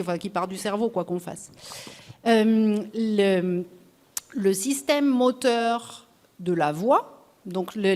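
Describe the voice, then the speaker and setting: Woman panelist, slightly high pitch, didactic explainer. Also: darkish tone. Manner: didactic, lecturing with vivid examples. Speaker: voice specialist panelist. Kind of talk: explanatory talk on a podcast panel. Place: festival round table before an audience.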